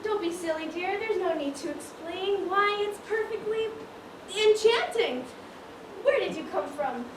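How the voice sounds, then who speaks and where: A woman's voice: very high voice, expressive, measured pace. A woman acting a nosy, teasing society customer in an amateur stage comedy, with an arch, exclamatory manner. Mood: delighted, teasing. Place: school theatre stage, distant microphone. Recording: echoey stage from far off, noisy.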